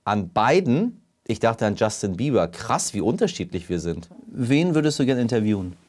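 A man hosting a casual interview, bantering and teasing playfully and quick to interject with questions. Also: lowish voice, big pitch swings, a little mellow. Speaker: interviewer, host.

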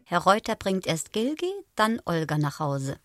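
An actress narrating a German radio play in a clipped, dry, ironic manner. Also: female voice, fairly low pitch, very animated, normal speed, no echo. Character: wry, ironic female narrator. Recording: music behind the voice.

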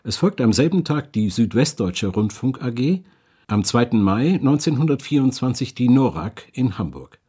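A man's voice: medium pitch, very animated, moderate pace, warm tone, husky. A man reading scripted narration for a radio documentary as its narrator.

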